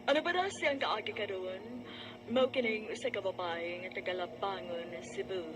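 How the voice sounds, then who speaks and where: A woman with a Cebuano Filipino accent introducing a radio drama in a measured, dramatic announcer's manner. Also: medium pitch, very noisy. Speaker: announcer and narrator. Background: loud music.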